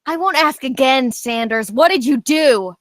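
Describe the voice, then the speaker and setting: A woman's voice: high-pitched, lively intonation, unhurried, no echo, studio quality. A woman playing a worried, hot-tempered character who confronts police with insistence, in a screenplay table read. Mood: angry, accusing.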